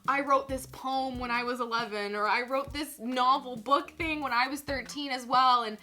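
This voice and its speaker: A woman hosting a vlog, giving a theatrical, expressive reading of her own writing and chatting casually in a giggly way. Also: sits high, moderate pace. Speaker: vlog host.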